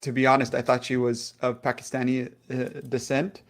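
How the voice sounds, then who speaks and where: A man co-hosting a live political chat show, tossing in quick jokes and interjections. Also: mid-range voice, little pitch movement. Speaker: podcast co-host.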